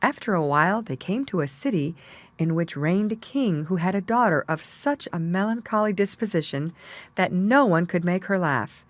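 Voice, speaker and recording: Animated woman storyteller, dry close sound. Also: on the low side, moderate pace, no echo. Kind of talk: scripted fairy tale narration.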